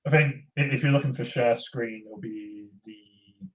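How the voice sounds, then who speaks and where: A man speaking as a podcast guest in casual, hesitant, halting talk over an online call. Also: medium pitch, crowd noise. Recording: dull lo-fi online call audio.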